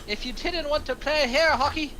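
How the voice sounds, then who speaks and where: A man's voice: extremely high pitch, normal speed, pushed and bright, a little hiss or hum. A man playing an anxious, rule-following criminal henchman in a film table read, exasperated, defensive and sweary.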